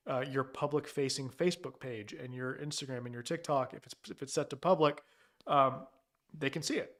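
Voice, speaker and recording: A man's voice: on the high side, fairly bright tone. A man hosting a casual live Q&A as an immigration lawyer, talking loosely with many fillers and restarts. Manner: loose and conversational, many fillers and restarts, reads out viewer questions.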